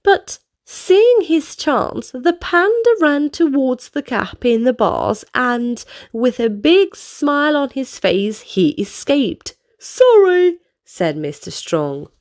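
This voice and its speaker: A high-voiced woman reads a storybook aloud to young children. She takes her time, with big melodic swings and direct questions and exclamations for the listeners. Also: very animated, slow pace, few pauses. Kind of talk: expressive read-aloud narration for children.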